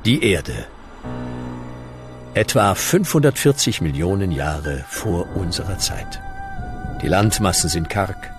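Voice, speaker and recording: An actor playing a solemn yet tongue-in-cheek male narrator in a comic radio play, measured and theatrical. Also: deep voice, huge pitch swings, music in the background, heavily acted. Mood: solemn, wry. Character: wry, grandly solemn male storyteller of creation.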